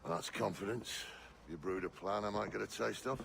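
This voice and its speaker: An actor playing a coarse, hot-tempered pirate captain with a gravelly, cursing delivery. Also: male voice, fairly low pitch, moderate pace, a bit bright, a little hiss or hum. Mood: scheming.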